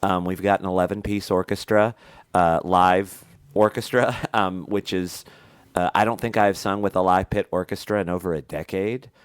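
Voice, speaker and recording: Podcast co-host, male, thirties, very low and smooth voice, nasal from a cold, talks in long rambling stretches. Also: steady tone, normal speed, few pauses, dry recording. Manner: rambling, chatty, many fillers. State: congested with a head cold. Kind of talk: casual chat.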